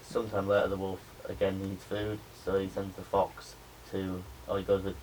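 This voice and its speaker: Young man, student presenter, very low voice, flat intonation, background noise. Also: normal speed. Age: young adult. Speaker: media student presenting a project. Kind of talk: spoken class presentation pitch. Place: indoor room with a small audience. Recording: noisy room recording.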